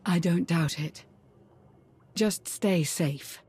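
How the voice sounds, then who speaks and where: A woman playing a devoted, composed ally in a video game, speaking earnestly and formally in a husky, soft tone. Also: fairly low pitch, extremely slow, heavily acted. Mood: worried, tender. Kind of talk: video game cutscene acting.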